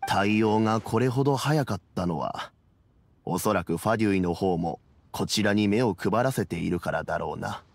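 Gravelly, dignified old man. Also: on the low side. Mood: shrewd. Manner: measured, grave. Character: dignified grey-bearded elderly man, shrewd respected elder. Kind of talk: Japanese anime game voice acting. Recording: music behind the voice.

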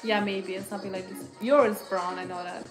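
A woman in her thirties from Moldova, a guest on a couch livestream, answering questions softly and laughing easily. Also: medium pitch, steady tone, brisk, reverberant, over music. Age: 30s. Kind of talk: casual chat answering questions. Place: living room couch indoors. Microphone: phone camera propped in front.

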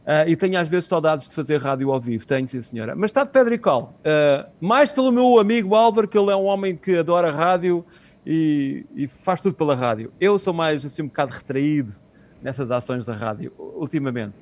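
Halting, higher-pitched middle-aged Portuguese man. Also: lively intonation, darkish tone. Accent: European Portuguese. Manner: halting, searching for words, repeating phrases. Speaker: interview guest, community radio co-founder. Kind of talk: conversational interview answers. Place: small room at home, on a video call. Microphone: earphone headset on video call.

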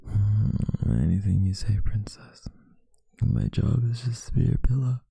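A man playing a sleepy, teasing, affectionate boyfriend in an intimate ASMR roleplay, murmuring drowsily with husky breath and hesitant pauses, close to the mic. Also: fairly low pitch, warm tone, dry recording, exaggerated voice. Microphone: close mic, dry.

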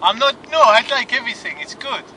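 A bumbling, dim-witted caller who rambles and plays confused, put on by a man. The voice sits very high and sounds bright and sharp, with a faint hiss behind it. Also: animated, brisk, heavily acted, poor audio. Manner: bumbling, absurd, feigned confusion. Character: dim foreign-sounding male caller Ravosh. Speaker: prank caller.

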